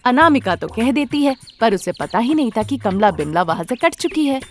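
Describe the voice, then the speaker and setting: High-voiced woman narrating a story. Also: very animated, moderate pace, a little hiss or hum. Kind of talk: scripted children's story narration.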